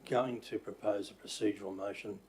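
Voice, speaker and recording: Croaky Australian man, mayor chairing a council meeting, low voice, hesitant with fillers, faint room hum. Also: steady tone, a little fast, darkish tone. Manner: hesitant, many fillers, polite. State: croaky voice after illness. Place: council chamber.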